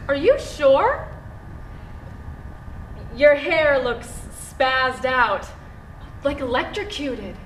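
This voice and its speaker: Skeptical young woman for stage drama, high and very animated, questioning delivery. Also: very slow, pauses often, exaggerated voice. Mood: curious. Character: naive thirty-year-old woman tourist from Los Angeles, skeptical. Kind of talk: live stage play acting. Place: theatre stage, indoors. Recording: echoey theatre room, faint noise.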